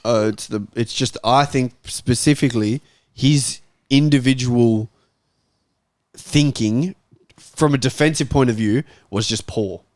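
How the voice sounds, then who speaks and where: A man's voice: medium pitch, unhurried, darkish tone, dry recording. A man talking casually as a panellist in a sports debate, blunt and matter-of-fact. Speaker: football talk show panellist.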